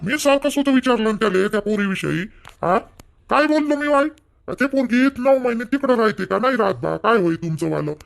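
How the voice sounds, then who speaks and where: A man's voice: high-pitched, expressive, a little fast, vocal fry. A man voicing an irritable middle-aged village father in a cartoon family drama, arguing in colloquial Varhadi Marathi. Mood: indignant. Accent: Varhadi Marathi from Vidarbha. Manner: colloquial, argumentative.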